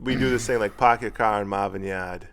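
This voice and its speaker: A man's voice: lowish voice, a little fast, gravelly, a bit bright, a little hiss or hum. A middle-aged man with an American accent, co-hosting a podcast in casual, conversational talk. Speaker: co-host of a martial arts podcast. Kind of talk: casual chat.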